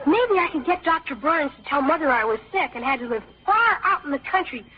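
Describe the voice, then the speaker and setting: An earnest American schoolboy with a high voice and a stubborn streak. He speaks emphatically, in the manner of old radio drama. The recording is dull and lo-fi. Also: animated, a little fast. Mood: glum scheming. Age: child actor or young teen.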